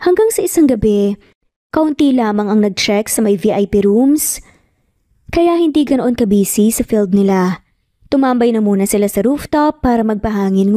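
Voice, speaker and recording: A woman's voice: fairly high pitch, dry recording, studio quality. A woman storyteller reading a scripted romance drama in a narrator's dramatic style. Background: soft background music.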